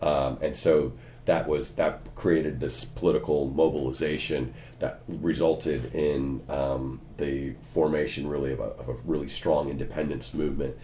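A Californian American man, a history lecturer, answering interview questions in his office, often pausing with ums and restarting. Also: extremely low pitch, little pitch movement, dark tone, slight background noise. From California, USA. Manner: frequent ums and restarts, lightly wry. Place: university office. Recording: imperfect office recording.

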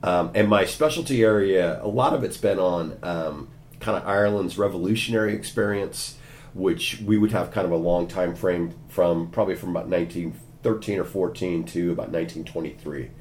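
A Californian American man, a history lecturer, answering interview questions in his office, often pausing with ums and restarting. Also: deep voice, a little mellow, a little hiss or hum. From California, USA. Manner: frequent ums and restarts, lightly wry. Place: university office. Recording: imperfect office recording.

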